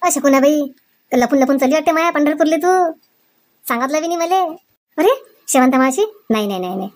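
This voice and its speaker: A woman's voice: sits high, animated, fast, darkish tone. A woman voicing a bustling, chatty village mother-in-law in a comedy cartoon, with a Varhadi Marathi accent. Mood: chatty, insistent. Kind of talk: exaggerated cartoon comedy dialogue.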